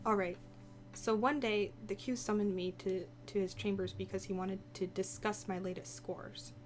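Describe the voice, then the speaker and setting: A woman voicing a young, sharp-tongued woman telling of her past in a visual novel, informal and snappy. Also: mid-range voice, fairly even intonation, moderate pace, slight background noise, close to an everyday voice. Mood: reflective. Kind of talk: visual novel voice-over read aloud.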